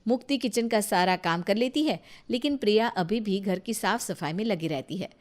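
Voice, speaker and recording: A woman's voice: medium pitch, moderate pace. A woman storyteller reading scripted narration for a family moral tale.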